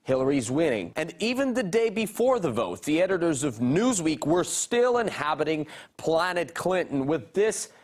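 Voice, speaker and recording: A man's voice: a little high, very animated, moderate pace, hardly any pauses, darkish tone. A man working as a news reporter, delivering scripted narration and interview questions in an emphatic, rhetorical manner.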